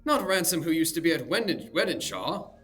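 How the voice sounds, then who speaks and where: A man's voice: fairly high pitch, big pitch swings, fairly quick, a bit bright, faint music behind, voice pushed up. A man voicing a slender, younger man with a sarcastic, mocking drawl. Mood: surprised.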